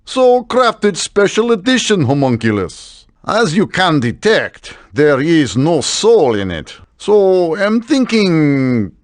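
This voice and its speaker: A man voicing a quirky, cheerful old inventor in a video game, speaking in halting, broken grammar. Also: on the high side, very animated, dry recording. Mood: proud, eager.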